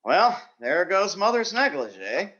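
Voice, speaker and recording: Guy doing earnest flustered young teacher, old radio sitcom, very animated, slightly muffled recording. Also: high voice, measured pace, full character voice. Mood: dejected. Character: earnest, naive young male schoolteacher, easily flustered. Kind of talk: 1940s radio sitcom acting.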